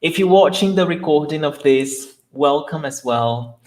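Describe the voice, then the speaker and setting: A man's voice: fairly high pitch, unhurried, darkish tone. A man from Brazil with a Brazilian accent, a Portuguese teacher running a live online lesson, greeting viewers by name with enthusiasm.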